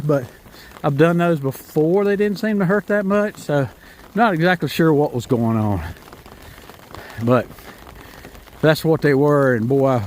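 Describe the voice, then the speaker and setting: A man's voice: medium pitch, slight background noise. A man from North Carolina with a Southern accent, vlogging in a casual, rambling way while walking a rural trail. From North Carolina, USA. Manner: rambling, folksy, self-correcting. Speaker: hiking vlogger. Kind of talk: casual walking vlog to camera. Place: outdoors on a rural trail in the rain.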